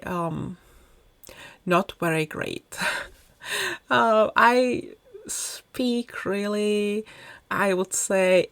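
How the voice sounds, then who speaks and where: A young woman with a Czech accent chats casually over a game video, rambling and self-deprecating. Her voice sits a little low, with a gravelly fry at the phrase ends. The recording is close and dry, made at home. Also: dry recording. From Czech Republic. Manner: chatty, rambling, self-deprecating, with light laughs. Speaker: Sims speedbuild YouTuber.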